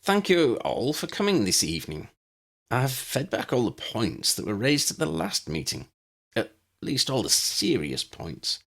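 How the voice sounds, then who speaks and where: A man's voice: medium pitch, huge pitch swings, a little slow, vocal fry, a bit bright, voice pushed up, full character voice. A theatrical master of ceremonies from a fantasy audiobook, acted by a male narrator. He addresses the meeting formally, his voice lifted and swinging up and down with showy emphasis. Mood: formal welcome.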